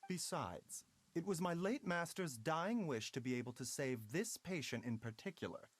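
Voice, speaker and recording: An actor voicing a calm, courteous, enigmatic doctor in a video game, speaking in a formal, measured way. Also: male voice, fairly high pitch, slightly rough, dry recording.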